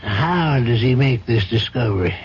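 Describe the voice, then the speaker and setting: An actor playing a seasoned, compassionate older judge, measured and probing, in a 1970s radio drama. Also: male voice, medium pitch, expressive, slightly rough. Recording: dull, hissy old radio broadcast.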